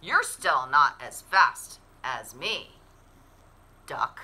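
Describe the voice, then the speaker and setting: A woman's voice: deep voice, expressive, extremely slow, pushed and bright, husky, voice pushed down, exaggerated voice. A woman voicing a boastful, smugly unimpressed male farm horse in a picture book.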